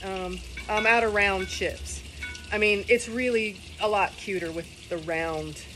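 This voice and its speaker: A woman's voice: medium pitch, a little slow, music in the background, low-quality recording. A middle-aged woman, rambling chattily through a casual cooking demo while sitting in a kiddie pool outdoors, recorded on a phone camera. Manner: chatty, rambling, folksy asides. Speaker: cooking vlogger. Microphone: phone camera on a table.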